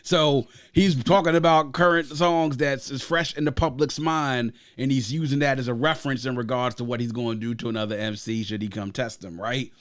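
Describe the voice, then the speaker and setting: A man's voice: mid-range voice, steady tone, fairly quick, few pauses, no room sound. A man from Grenada hosting a casual podcast review of an album, talking enthusiastically and at length, often adding "you know what I'm saying". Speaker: podcast host. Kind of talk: casual music review chat.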